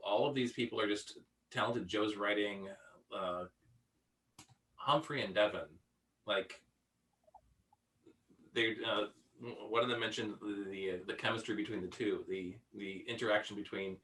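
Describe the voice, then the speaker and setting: A man, a voice actor, chatting casually in a halting, rambling way. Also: fairly low pitch, pushed and bright, recorded from a distance, low-quality recording. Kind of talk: casual chat.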